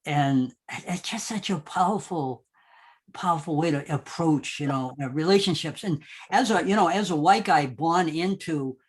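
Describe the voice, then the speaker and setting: A man's voice: on the high side, normal speed, breathy edge. A man giving heartfelt, unscripted remarks as a roundtable panelist, effusive and often repeating himself as he goes.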